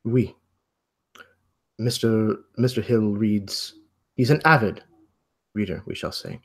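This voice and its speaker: A man playing a polite, deferential butler of a grand house in a tabletop mystery game, speaking in short halting phrases. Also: on the low side, breathy edge, heavily acted. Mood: courteous, formal. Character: polite formal male butler of a manor.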